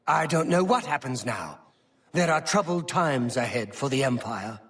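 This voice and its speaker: An actor playing an earnest, formal imperial chancellor in a fantasy game, with declamatory and emphatic delivery. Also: male voice, medium pitch, lively intonation, moderate pace, fairly bright tone, far from the mic. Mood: grave, mournful. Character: earnest imperial high chancellor, formal, stately, concerned statesman. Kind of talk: dramatic fantasy game acting.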